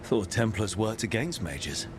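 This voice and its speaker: A man's voice: lowish voice, expressive, unhurried, noisy background. A man playing an earnest, helpful young leader in a video game, speaking diplomatically. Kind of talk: video game cutscene acting. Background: music.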